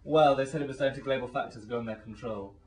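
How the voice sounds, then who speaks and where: A man playing a pompous, florid, rambling gentleman in an absurd comic radio play. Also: medium pitch, fast talker, close to an everyday voice. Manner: florid, grandiloquent. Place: small dressing room. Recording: echoey room, distant microphone.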